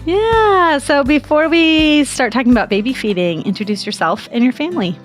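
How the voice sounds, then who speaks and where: A woman hosting a podcast as a lactation consultant, reading a scripted intro in a steady, measured way and then guiding an interview. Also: high-pitched, soft background music. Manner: steady, measured read with slow deliberate emphasis.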